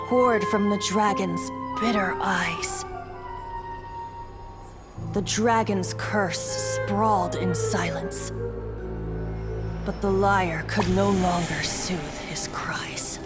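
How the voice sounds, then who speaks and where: A woman's voice: fairly low pitch, fairly even intonation, very slow, long pauses. A woman voicing a wistful bard who recites an old epic ballad in stately verse for a video game. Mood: solemn, dramatic. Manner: lyrical, stately. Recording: prominent music under the voice. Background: loud background music.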